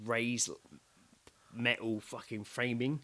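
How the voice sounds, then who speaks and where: A British man co-hosting a podcast, telling a story in casual chat with sweary, excitable delivery and acting out his own reactions. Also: mid-range voice, slow. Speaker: podcast co-host.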